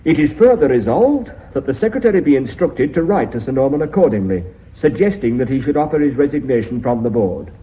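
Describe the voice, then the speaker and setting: An actor playing a blunt, combative company director in a radio drama, forceful and impatient. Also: male voice, medium pitch, very animated, brisk, few pauses, natural voice. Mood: formal, decisive. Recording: tinny, noisy old radio recording.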